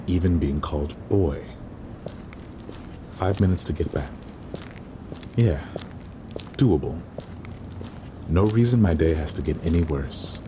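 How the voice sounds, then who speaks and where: A man's voice: sits low, animated, slow, long pauses, background noise. A man playing a weary, resentful waiter who narrates an audio drama in a dry, sardonic inner monologue. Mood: brooding, calming. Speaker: lead actor and narrator.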